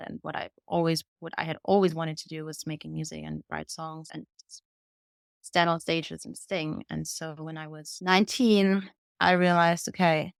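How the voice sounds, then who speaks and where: Girl in her 20s chatting in an interview, candid, crisp clear voice, no room echo. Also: lowish voice, studio quality. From Vienna, Austria. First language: German. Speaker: podcast guest, singer-songwriter. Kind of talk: conversational interview answers, personal storytelling.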